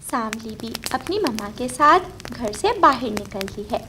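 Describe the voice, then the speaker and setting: A woman's voice: fairly high pitch, animated, a little slow, noisy background. A woman narrating a scripted children's story.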